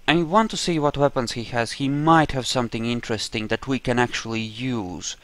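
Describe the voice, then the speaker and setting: A man's voice: medium pitch, fairly bright tone. A man giving casual let's play commentary on a roleplaying game, thinking aloud in a rambling way as he goes. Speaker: gamer narrating his own roleplay playthrough.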